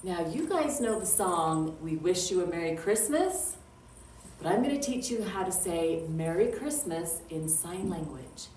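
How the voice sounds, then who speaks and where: A woman reading a picture book aloud to young children as a storytime librarian, with drawn-out words and dramatic emphasis. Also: on the low side, expressive, a little mellow. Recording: roomy echo, distant mic, faint noise.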